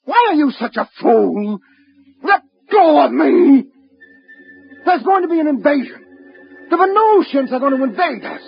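An actor playing an excitable, urgent man desperate to be believed, in the style of 1950s radio drama. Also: very high-pitched, measured pace, gravelly, pauses often, exaggerated voice. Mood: desperate, pleading. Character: frantic, disbelieving ordinary man desperate to be believed. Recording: muffled vintage radio recording.

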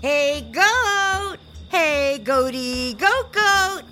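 A woman's voice: high voice, lively intonation, drawn-out words, sharp tone, faint music behind, heavily acted. A woman playing a menacing, unhinged aunt in an audio drama, coaxing with false sweetness that turns threatening. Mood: wheedling, sinister. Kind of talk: audio fiction acting for children.

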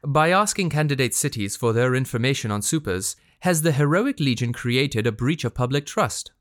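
An actor playing a composed radio news anchor moderating a panel in a formal, measured manner. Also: male voice, mid-range voice, expressive, a bit bright, lightly acted.

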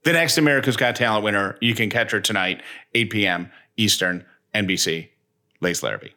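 Guy podcast host just chatting, lowish voice, really bright and punchy. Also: a little fast. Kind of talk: casual podcast banter.

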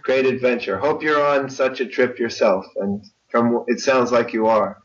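A man speaking as a guest author in an interview, reflective and philosophical, with measured phrasing. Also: medium pitch, steady tone. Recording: dull, distant lo-fi microphone.

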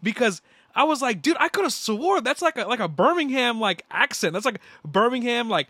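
A young man co-hosting a sports podcast, talking in an excitable, slangy way and repeating himself. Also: extremely high pitch, moderate pace, slightly rough, dry recording, clean studio sound. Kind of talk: casual basketball chat.

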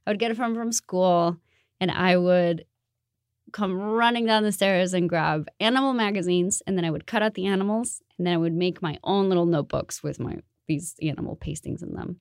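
A woman's voice: lowish voice, moderate pace, clean tone, no room sound, studio quality. A woman in her thirties from Colombia, a designer answering interview questions in an earnest, reflective way. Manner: earnest and reflective, with occasional laughs.

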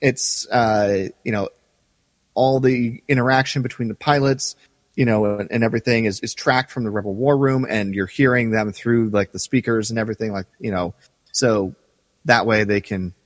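A man co-hosting a podcast in casual discussion, explaining things in a halting, circling way. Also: lowish voice, fairly even intonation, no echo, in a large hall. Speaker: podcast co-host. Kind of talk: casual discussion of a radio drama.

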